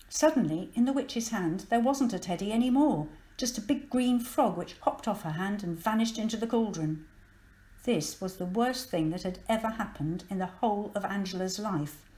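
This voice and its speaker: A woman's voice: mid-range voice, a little mellow, roomy sound. A woman narrating a children's story from a script, expressively and with dramatic emphasis. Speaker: storybook reader.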